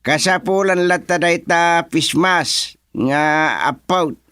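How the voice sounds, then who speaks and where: Casting: village chairman, slightly high voice, deliberate and official, drawn-out words, dry radio comedy sound. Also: bright, forceful tone, smooth, dry recording. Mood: official, instructive. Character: village chairman presiding over youth activity plans. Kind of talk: Ilocano radio comedy drama acting.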